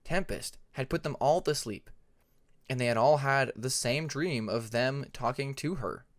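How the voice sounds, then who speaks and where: A man's voice: medium pitch, unhurried. A man reading a fantasy story aloud as an audiobook narrator, in a scripted narration style. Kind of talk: scripted fan fiction narration.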